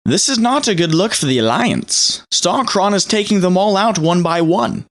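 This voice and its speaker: A woman's voice: on the low side, lively intonation, moderate pace. An actress playing an effusive, anxious council noblewoman in an audio drama, with earnest, exclamatory delivery. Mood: worried. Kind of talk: scripted audio drama acting.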